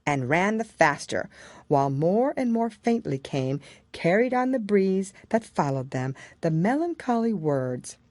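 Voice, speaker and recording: Animated, unhurried woman narrating a story. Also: fairly low pitch, no echo. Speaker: audiobook narrator.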